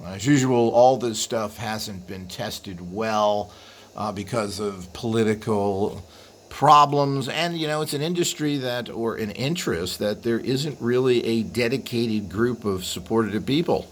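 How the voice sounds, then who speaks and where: A man hosting a video with an unscripted explanatory monologue, rambling and halting as he trails into asides. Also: lowish voice. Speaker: video host. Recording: clearly audible background noise.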